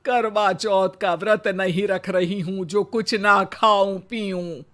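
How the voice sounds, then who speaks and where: A woman acts out an old Indian mother-in-law from a comic cartoon tale. She is bossy, greedy and quick to take offence. Her scolding comes in slow, theatrical lines at an ordinary female pitch. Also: fairly even intonation, fairly bright tone. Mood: snappy. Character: bossy, gluttonous, short-tempered old Hindi mother-in-law. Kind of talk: comic animated moral story acting.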